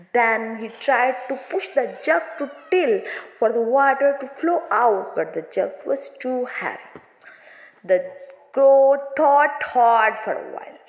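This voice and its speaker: A reverberant, echo-heavy recording of a woman giving a lesson. Her voice sits a little high. She explains a moral story for students in hesitant phrases with non-native English grammar. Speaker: teacher. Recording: echoey room with long reverb.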